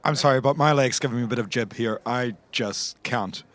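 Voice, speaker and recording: Guy doing a moany bloke, medium pitch, deadpan, hesitant, doesn't want any part of it. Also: lightly acted. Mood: weary, grumbling. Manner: halting, complaining, deadpan. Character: grumbling, reluctant man with a bad leg.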